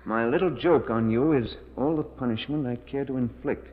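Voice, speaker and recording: A man's voice: medium pitch, normal speed, lightly acted. An actor playing a tense, guilty man trapped in a cave, in emphatic 1940s radio melodrama. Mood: grim, reading aloud. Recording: muffled 1940s radio recording, reverberant.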